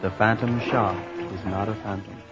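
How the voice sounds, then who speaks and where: A man's voice: lowish voice, fairly even intonation, moderate pace, close to an everyday voice. An actor playing a solemn, formal island boatman on a 1970s adventure record. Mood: grim, solemn. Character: grim, businesslike island boatman, bereaved brother. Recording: muffled old record under loud music.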